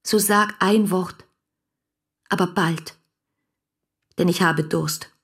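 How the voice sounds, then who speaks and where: A lovesick romantic woman in a german radio play, husky breathy voice, fervent and poetic, kinda whispery. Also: on the low side, fairly even intonation, slow pace, a bit bright, no room sound, studio quality, heavily acted. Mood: pleading, tender. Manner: fervent, lyrical, declamatory, breaking into breathy whispers. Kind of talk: literary German radio play, letter monologue.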